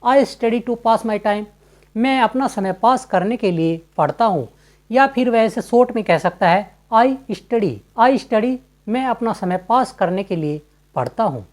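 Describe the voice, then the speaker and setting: A woman teaching spoken English, explaining in a clear, even instructional tone and repeating each example sentence twice, very slowly and deliberately. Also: fairly high pitch. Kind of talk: slide-based spoken English lesson.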